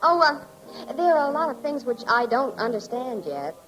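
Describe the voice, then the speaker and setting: A woman's voice: high-pitched, big pitch swings, normal speed, fairly bright tone, faint music behind, poor audio. A woman playing a wry, curious newspaper reporter with teasing delivery, 1940s radio style.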